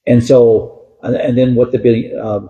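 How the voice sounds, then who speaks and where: A man's voice: mid-range voice, little pitch movement, moderate pace, phone-quality sound. A man speaking as sheriff at a building dedication, folksy and thankful. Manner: folksy, self-deprecating, thankful. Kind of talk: public speech at a ceremony.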